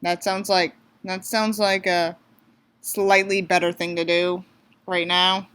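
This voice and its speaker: A woman's voice: fairly low pitch, level tone, slow pace, a bit bright, smooth. A woman from the United States doing a mocking dramatic reading, theatrical, with sarcastic asides. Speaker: reader and commentator.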